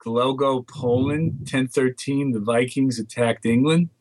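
A man's voice: medium pitch, measured pace, a little mellow, no room sound, poor audio. Older Dutch-born man reading off dates. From Netherlands. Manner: reads lists of dates aloud, thinking aloud. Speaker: market research guest. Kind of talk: casual phone interview chat.

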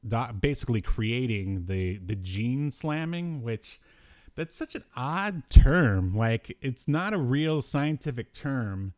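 A man's voice: medium pitch, sing-song, takes it slowly, a little mellow. A man co-hosting a podcast, chatting casually about cartoons in a rambling way with bits of slangy talk. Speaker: podcast co-host.

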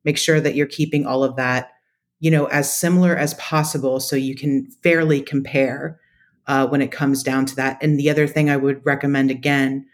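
A woman's voice: extremely low pitch, little pitch movement, normal speed. A woman speaking as an interview guest, giving conversational, practical advice in a methodical, explanatory way. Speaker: podcast guest, data practitioner.

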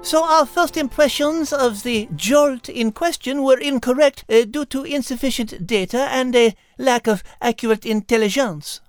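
Woman playing a prim, high-voiced French lady cat. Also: moderate pace, hardly any pauses, no room sound, soft background music, lightly acted. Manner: precise, formal, wordy. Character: prim, clever female French cat, dainty and bookish. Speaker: podcast co-host in character. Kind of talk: audiobook character voice and in-character banter.

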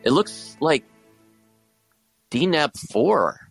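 An actor playing a shy, imaginative high school boy, hesitant and earnest, in an audio drama. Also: big pitch swings, drawn-out words, creaky, breathy edge, faint music behind, full character voice. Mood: wondering.

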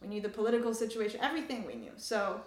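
A woman's voice: mid-range voice, big pitch swings, racing. Young woman in an echoey room, explaining. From Canada and France, Iranian family. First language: Persian (Farsi). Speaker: interviewee. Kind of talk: conversational interview answers.

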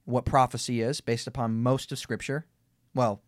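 A man's voice: mid-range voice, little pitch movement, normal speed, dry recording. Emphatic man arguing, dry close sound. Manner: emphatic, builds arguments step by step with repeated restarts. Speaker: podcast host in a debate. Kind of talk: debate conversation.

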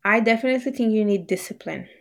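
A woman's voice: mid-range voice, fast talker, gravelly. A woman whose first language is Bengali, a guest in a casual interview, speaking thoughtfully with fillers.

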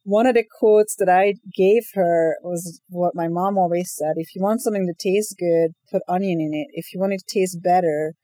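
A woman from Hungary talks as a podcast guest, laying out each step in an easy, storytelling way. Her voice is mellow and soft-edged. The sound is dry, with no room around it. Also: fairly low pitch, moderate pace, hardly any pauses, dry recording. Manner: lively storytelling, explains step by step. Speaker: guest, brand agency co-founder. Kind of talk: casual podcast interview.

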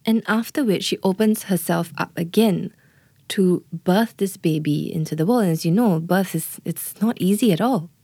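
A woman's voice: on the low side, normal speed, warm tone, breathy edge, no echo. A woman working as a doula, explaining birth in an earnest interview manner and often checking in with "right?" and "you know". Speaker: doula, guest.

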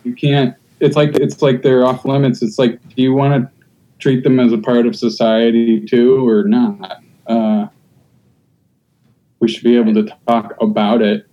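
Man, stand-up comic, blunt riffing talk, dark tone, dull lo-fi recording. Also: mid-range voice, level tone, a little slow. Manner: blunt, riffing, acts out hecklers' voices. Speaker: stand-up comedian. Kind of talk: casual podcast chat.

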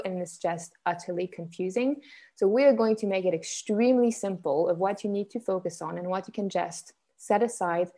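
A woman's voice: mid-range voice, dark tone. A young woman from Switzerland, a business coach hosting a live online challenge, chatting upbeat with viewers and reading their comments aloud. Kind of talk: live-stream teaching chat with viewers.

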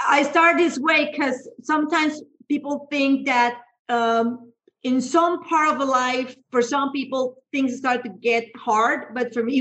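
A woman's voice: fairly high pitch, a bit bright, rough recording. A woman in her thirties from Mexico, speaking English as a second language with a Mexican Spanish accent, candidly telling her life story as an interview guest with frequent restarts.

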